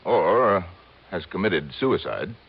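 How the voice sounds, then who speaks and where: Old, muffled radio sound frames an egotistical insurance executive. His mid-pitched voice rises and falls emphatically. He is pompous, impatient and fussy, giving orders in classic radio-drama style. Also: sing-song, slow pace, creaky, slightly rough, heavily acted. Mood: irritated, accusing. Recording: muffled vintage radio recording.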